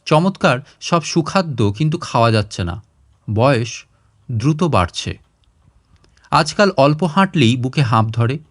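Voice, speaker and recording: A man narrating a literary short story in an expressive audiobook style. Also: mid-range voice, unhurried, pauses often.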